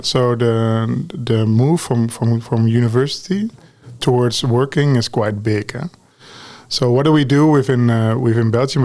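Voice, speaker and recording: A man in his forties with a Dutch accent speaks easily, answering like a guest in a podcast interview. He often tucks a questioning "eh?" or "huh?" onto the end of a point. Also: mid-range voice. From Netherlands. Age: 40s. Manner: relaxed, tags sentences with "huh?" and "eh?". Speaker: guest, talent lead. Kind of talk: podcast conversation.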